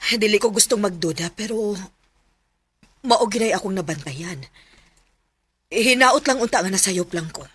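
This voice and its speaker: A woman's voice: medium pitch, animated, normal speed, long pauses, background noise, lightly acted. An actress playing a suspicious, meddling middle-aged mother in a radio drama, with a Cebuano accent. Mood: suspicious, insinuating. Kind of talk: Filipino radio drama acting.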